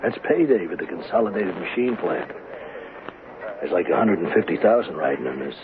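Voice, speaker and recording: A swaggering young bank robber from a radio drama, low and gravelly. His talk is slangy, slick and cocky. It comes through a thin, noisy old broadcast recording. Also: lively intonation, brisk, phone-quality sound. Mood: cocky, persuasive.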